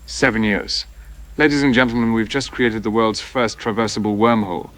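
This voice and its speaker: Man playing a low, commanding research director. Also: moderate pace, fairly bright tone, dry recording, natural voice. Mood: solemn pride. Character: authoritative male research director commanding control room. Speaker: project director. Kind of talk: audio drama acting. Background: music.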